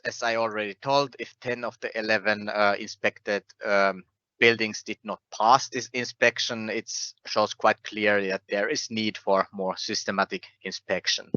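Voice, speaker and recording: A man giving a technical presentation in a halting, hesitant way over an online call. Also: fairly low pitch, bright, forceful tone, dry recording. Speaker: conference presenter. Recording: muffled online webinar audio.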